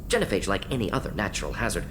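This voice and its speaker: A man's voice: lowish voice, wildly swooping pitch, noisy background. An actor playing a brainy, pragmatic male alien scientist in a video game, speaking in clipped, telegraphic phrases. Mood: matter-of-fact, dismissive. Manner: clipped telegraphic phrases, rapid-fire listing. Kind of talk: video game dialogue acting.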